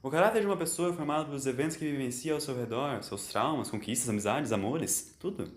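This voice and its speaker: A man's voice: medium pitch, fast talker, roomy sound, natural voice. A man voicing an eccentric time-travelling doctor in an audio story, confident and lecturing, with emphatic, theatrical delivery.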